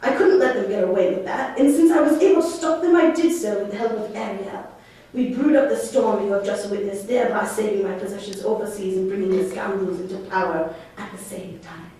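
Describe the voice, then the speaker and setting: A woman's voice: medium pitch, a little fast, dark tone, close to an everyday voice. A woman playing an imperious exiled duke and magician, a father, declaiming theatrically in a stage puppet play. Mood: grave, bitter. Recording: echoey room, distant microphone.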